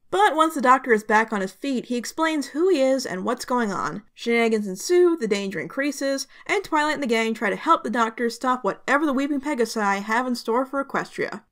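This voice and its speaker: A woman hosting a review channel, giving scripted narration in a conversational, enthusiastic fan's manner. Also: a little high.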